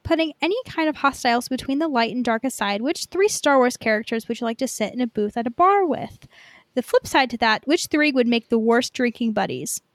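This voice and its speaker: A woman's voice: a little high, animated, fairly quick, no room sound. A woman chatting casually as a podcast co-host, in informal speech peppered with "like". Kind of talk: casual fan podcast chat.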